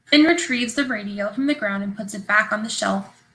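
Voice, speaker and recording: Bright-voiced woman narrator, noisy stream. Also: mid-range voice, moderate pace. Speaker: narrator reading stage directions. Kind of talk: scripted narration. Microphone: video call. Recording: noisy livestream call audio.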